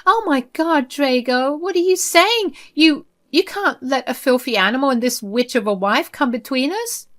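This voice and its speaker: A woman's voice: sits high, animated, moderate pace, hardly any pauses, fairly bright tone, voice pushed up. A woman voicing a self-righteous older mother-in-law, whiny and indignant, in a read-aloud story. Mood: whiny pleading.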